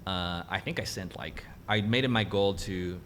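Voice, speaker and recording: A young man from Mexico, a native Spanish speaker, telling his career story as a guest in a casual interview, animated and laughing easily. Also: low voice, moderate pace, a little hiss or hum. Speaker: guest cinematographer.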